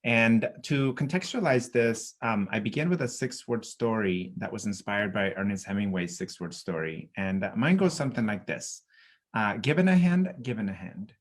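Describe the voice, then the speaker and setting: A man from El Salvador giving a webinar presentation as a nonprofit consultant, speaking in a measured way with frequent um and uh fillers. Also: on the low side, lively intonation.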